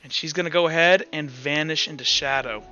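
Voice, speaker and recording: A man acting as game master, improvising tabletop narration in a casual, halting storytelling style. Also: on the high side, bright, forceful tone, lo-fi sound. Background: faint music.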